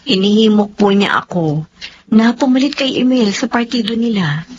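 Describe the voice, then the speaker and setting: An actress plays a devout, gently firm woman doctor in a radio drama. She is earnest and polite, with lively intonation, on a slightly dull recording with faint noise. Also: fairly high pitch, normal speed, close to an everyday voice. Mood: hurt. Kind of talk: Filipino radio drama acting.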